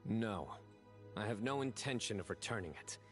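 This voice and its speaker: A man performs a composed, resolute desert guardian from a video game. His voice sits very low and moves with solemn, deliberate weight. Soft music plays behind his reflective words. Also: lively intonation, lightly acted. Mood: firm, resolute. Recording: background music under dialogue.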